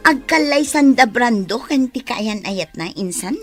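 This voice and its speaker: A woman's voice: on the high side, expressive, measured pace, soft background music. A woman playing a blunt, gossipy, scornful young cousin in an Ilocano radio drama.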